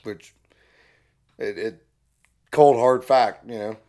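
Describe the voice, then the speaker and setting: Hoarse young man, slow easygoing podcast host. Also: mid-range voice, dark tone. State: hoarse, losing his voice. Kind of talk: casual chat.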